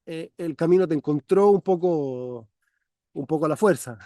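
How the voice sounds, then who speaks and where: A man hosts an interview in a relaxed, rambling way. His voice is fairly high for a man but mellow in tone. The sound is dry and close. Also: moderate pace, no room sound. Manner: warm, chatty, many fillers and restarts. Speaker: podcast host. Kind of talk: conversational interview. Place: parents' country house.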